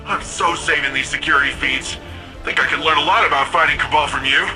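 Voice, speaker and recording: An actor playing an upbeat, cocky young guardian of a futuristic city, slangy and playful, in video game dialogue. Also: male voice, on the high side, fairly even intonation, a little fast, music in the background, close to an everyday voice, rough recording. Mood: excited, friendly.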